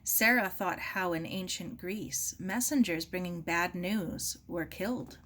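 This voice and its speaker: A woman narrating a novel as an audiobook reader, expressive, deliberate and grave. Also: fairly low pitch. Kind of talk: dramatic scripted narration of a novel.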